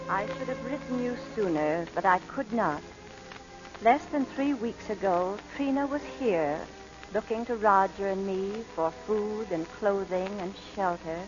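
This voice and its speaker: A muffled vintage radio recording with music underneath. An actress plays a bereaved mother who speaks very slowly and solemnly, as in a letter read aloud. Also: mid-range voice, close to an everyday voice. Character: grieving mother reading out her solemn letter. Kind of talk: solemn 1940s radio monologue.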